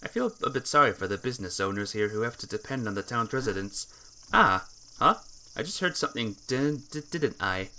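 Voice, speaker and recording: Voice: medium pitch, expressive, quick pace, few pauses, sharp tone. A gamer reading a visual novel aloud as narration, now and then tripping over words and correcting the slip. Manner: stumbles over words and corrects himself or herself. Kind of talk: game playthrough narration, reading aloud with character voices.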